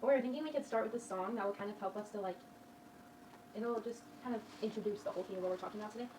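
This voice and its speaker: A woman's voice: mid-range voice, racing, darkish tone. A young woman chatting casually with others. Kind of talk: casual chat. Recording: roomy, distant mic, noisy background.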